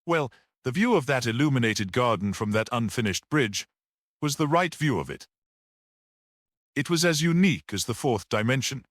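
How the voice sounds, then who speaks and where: An earnest priest-detective played by a male narrator in a mystery audiobook. He explains with rhetorical fervour and a very lively, swinging pitch. The sound is close and dry. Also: no room sound, natural voice. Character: earnest priest-detective, gentle yet explosively passionate. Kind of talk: dramatic audiobook character reading.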